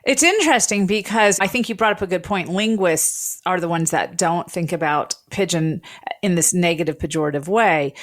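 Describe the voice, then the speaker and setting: A woman, speaking as an interviewer and linguist who explains ideas in an articulate, teacherly way. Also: on the low side. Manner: articulate, didactic.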